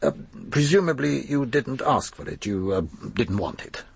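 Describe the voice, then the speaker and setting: An actor playing a shrewd, world-weary chief inspector in a radio drama, with a gravelly, husky voice and a probing, dryly insinuating manner. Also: male voice, medium pitch, lively intonation, moderate pace, dry recording.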